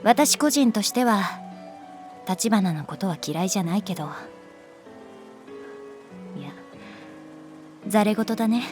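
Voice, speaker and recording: A woman playing a caring, composed female homeroom teacher in a Japanese voice drama, speaking in a calm, measured and gentle way. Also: medium pitch, soft background music, close to an everyday voice. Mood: firm, restrained.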